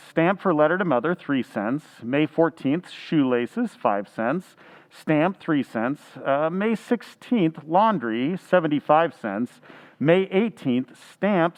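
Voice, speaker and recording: A man's voice: on the high side, very animated, takes it slowly, low-quality recording. A man playing a naive, frugal, earnest bachelor in old-time radio comedy style, speaking with fussy precision.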